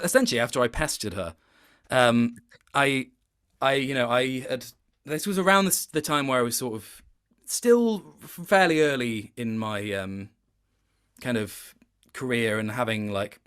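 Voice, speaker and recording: A man's voice: medium pitch, a bit bright, smooth. Man in a casual, thoughtful interview chat. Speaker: voice actor being interviewed.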